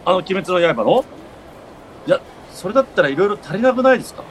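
A man acting a fussy, exasperated straight-man friend with quick retorts in a comedic radio drama. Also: sits high, very animated, slight background noise. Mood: startled, baffled.

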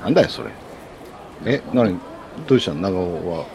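A man acting a blunt, self-conscious ringleader of a friend group in a scripted radio drama, husky and casual. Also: sits low, sing-song, normal speed, dark tone, a little hiss or hum, full character voice. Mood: indignant. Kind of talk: scripted radio drama dialogue in colloquial Japanese.

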